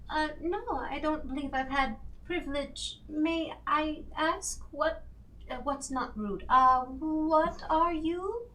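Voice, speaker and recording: A female tabletop player with a high voice who speaks slowly, her questions polite, curious and drawn out. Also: bright, forceful tone, poor audio.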